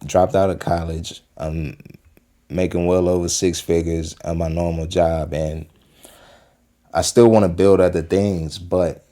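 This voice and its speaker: A man's voice: deep voice, little pitch movement, unhurried, dark tone. A man in his early twenties from Western Pennsylvania, talking loosely about urgency in life and reacting to a clip, with lots of fillers and repeated phrases. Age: 20s. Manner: rambling, repeats himself, many fillers. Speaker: video host reacting to a clip. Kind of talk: casual motivational talk.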